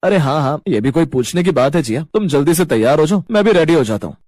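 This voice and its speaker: A man plays a smitten young husband in a cartoon family drama. He is gushing and amorous, with a husky voice in a very dry recording. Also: fairly high pitch, lively intonation, fast, a little mellow, no echo. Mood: agreeable.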